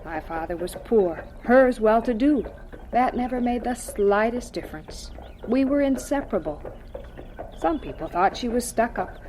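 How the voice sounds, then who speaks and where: Radio drama mother played by a woman: gentle, dignified, sincere, fairly high voice with lively swings. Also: moderate pace, a little hiss or hum, lightly acted. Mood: tender, wistful. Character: gentle, devout farm mother with quiet dignity.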